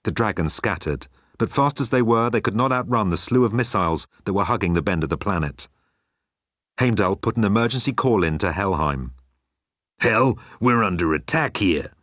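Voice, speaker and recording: A man reading comic science fiction as an expressive audiobook narrator. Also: lowish voice, a little fast, dry recording, clean studio sound.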